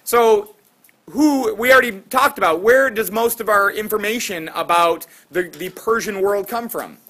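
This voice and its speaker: A middle-aged man teaching a class, lively and quizzing students with quick questions. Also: high-pitched.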